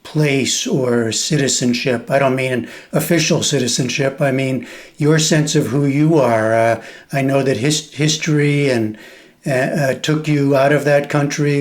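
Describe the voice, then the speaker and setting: A man interviewing a guest in a friendly, conversational way, feeling his way through his questions. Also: a little high. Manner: friendly, searching, halting questions.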